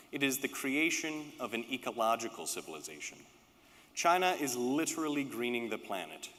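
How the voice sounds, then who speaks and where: A man giving a prepared political speech at a conference, with emphatic, rhetorical phrasing and deliberate repetition. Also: mid-range voice, fairly bright tone. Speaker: political speaker, panelist. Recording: reverberant hall with long echo.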